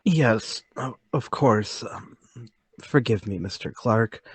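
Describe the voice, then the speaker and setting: A man's voice: lowish voice, lively intonation, takes it slowly, slightly rough, no echo, exaggerated voice. A man playing a meek, troubled middle-aged salesman in a radio play table read, hesitant and apologetic. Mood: uneasy, apologetic.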